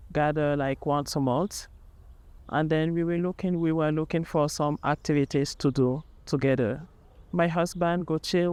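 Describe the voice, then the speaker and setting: Woman from cameroon, slow easy talk, smooth voice. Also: deep voice, a little mellow, no echo. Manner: unhurried, with "like" fillers. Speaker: interviewee. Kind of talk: documentary interview.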